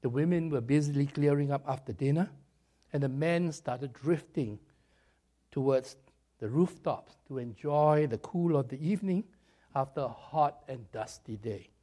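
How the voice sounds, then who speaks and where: A man preaching a sermon, his voice slightly raspy and hoarse after a cold, talking in an animated, chatty way with jokes at his own expense and stretches of storytelling. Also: mid-range voice, moderate pace.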